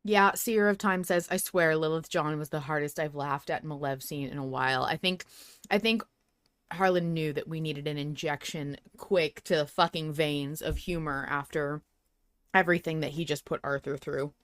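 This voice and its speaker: Lowish-voiced woman hosting a podcast, dry sound. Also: steady tone, normal speed, dry recording. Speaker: podcast host. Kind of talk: casual fan podcast discussion.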